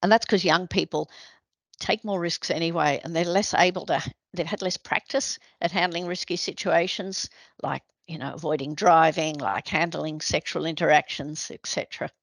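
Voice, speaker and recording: A woman speaking over a video call, her voice low and a little gravelly. She explains plainly and practically, dotting her talk with "you know" and "um". The sound is close and dry. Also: fairly even intonation, normal speed, no echo. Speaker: presenter, addiction medicine specialist. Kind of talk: webinar presentation.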